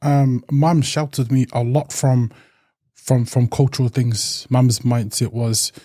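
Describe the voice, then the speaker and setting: Samoan-New man, mellow and reflective. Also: mid-range voice, flat delivery, slow pace, no echo, studio quality. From New Zealand. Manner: reflective, with many restarts and fillers. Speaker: podcast guest. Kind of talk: casual interview talk.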